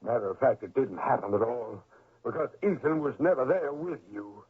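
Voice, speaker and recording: A stern old backwoods father, husky and rural American, speaks with grieving, accusing weight. The delivery is dramatic radio acting, heard through a muffled, phone-like old broadcast recording. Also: mid-range voice, expressive, moderate pace. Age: older.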